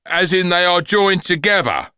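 A man's voice: high-pitched, expressive, moderate pace, sharp tone, clean tone, dry recording, studio quality, pitched above the performer's own voice. A man voicing a flustered, polite father speaking to an emergency operator.

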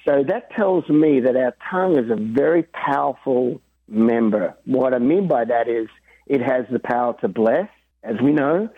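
A man being interviewed about drumming and faith, talking in an earnest, animated way and laughing easily. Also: mid-range voice, moderate pace, breathy edge, no room sound. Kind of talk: casual interview chat. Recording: dry tinny phone-like line.

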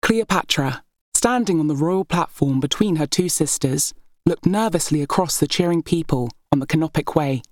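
A woman narrates a historical novel in a low-pitched voice. Her delivery is scripted, expressive storytelling, and the recording is very dry with no room sound. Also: moderate pace, no echo. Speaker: audiobook narrator.

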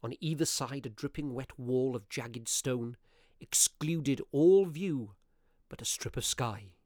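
A man acting as a curious, courteous Victorian gentleman who narrates a ghost story in a radio play, with theatrical, deliberate phrasing. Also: medium pitch, dry recording, studio quality, lightly acted. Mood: grave, atmospheric. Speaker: narrator of an audio drama.

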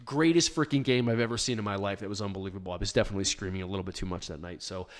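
A man hosting a live podcast, answering listener questions in a plainspoken, chatty way with plenty of ums. His voice is hoarse from shouting. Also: on the low side, fairly even intonation, very fast. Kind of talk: casual live podcast chat. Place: small home studio.

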